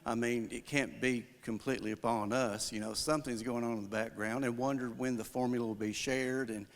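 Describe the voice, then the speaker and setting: A man with a big, booming voice speaks into a handheld microphone at a public town hall. His pitch is ordinary. He asks a run of questions with small hesitations and restarts, and the hall's long reverb hangs behind him. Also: steady tone, a little fast. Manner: loud, booming voice. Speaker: member of the public giving comment. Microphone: handheld microphone passed through the audience. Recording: echoey hall from off-mic.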